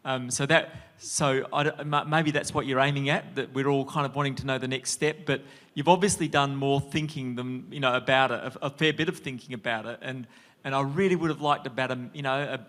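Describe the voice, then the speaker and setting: A man on a judging panel gives feedback on a pitch. He speaks in a measured, halting way with frequent fillers, at an ordinary middle pitch. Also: steady tone, a bit bright. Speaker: judge.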